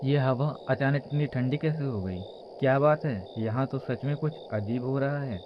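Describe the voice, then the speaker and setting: A man's voice: medium pitch, normal speed, dull audio, noisy background, natural voice. A man voicing an uneasy young villager trying to stay brave in horror cartoon dialogue, questioning as he goes. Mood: uneasy, challenging.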